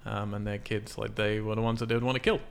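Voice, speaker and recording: A man's voice: fairly low pitch, little pitch movement, quick pace, vocal fry, slight background noise. A man hosting a casual film podcast, explaining things in an informal, sweary way. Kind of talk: casual film discussion from notes.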